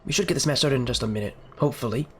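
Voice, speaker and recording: A man's voice: mid-range voice, rapid-fire, a little hiss or hum. A man with the flu casting an esports league stream, sniffling and stuffy-nosed as he fills time waiting for players. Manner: chatty filler talk while waiting. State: sick with a stuffy nose, sniffling. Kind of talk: solo stream commentary.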